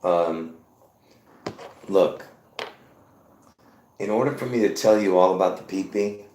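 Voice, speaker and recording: A man's voice: low-pitched, slow, a little mellow, slight background noise. A man in his fifties hosting a live stream, talking in a rambling, insistent way and repeating himself as he addresses viewers as folks. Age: 50s. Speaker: livestream host. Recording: roomy echo.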